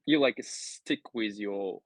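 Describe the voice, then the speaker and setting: A man from Japan with a Japanese accent, chatting casually as an English learner, halting and repeating words as he searches for phrasing. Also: fairly low pitch, breathy edge, dry recording. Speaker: English learner in an online lesson. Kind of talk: casual conversation practice in English.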